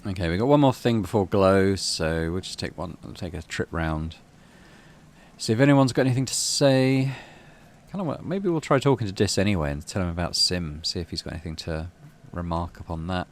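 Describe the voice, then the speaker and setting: Low-voiced British man, lively intonation. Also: a little fast. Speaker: gaming channel host. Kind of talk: casual playthrough commentary, reading game text aloud.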